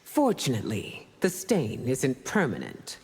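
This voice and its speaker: A regal empress from a fighting game, formal and commanding. Her low voice moves expressively in pitch, with long reverb and noise behind it. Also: wildly swooping pitch, a little slow, a little mellow, slightly rough, full character voice. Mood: regal, resolute. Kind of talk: video game character dialogue.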